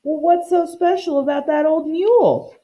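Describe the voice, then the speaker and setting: A woman's voice: sits high, normal speed, dark tone, voice pushed up, lightly acted. A woman voicing a curious, polite young boy with eager questions in a children's picture book read-aloud.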